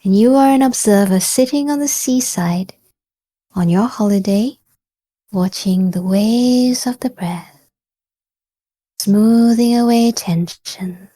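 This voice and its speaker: Slow, mellow woman guiding meditation. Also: fairly high pitch, dry recording. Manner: gentle, calm, encouraging delivery with pauses. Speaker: meditation guide. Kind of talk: guided body scan meditation.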